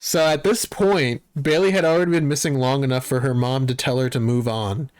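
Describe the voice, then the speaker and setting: A man's voice: medium pitch, steady tone, brisk. A man giving casual, wry, sweary commentary and reactions on a horror series. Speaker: video essayist and reactor. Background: background music.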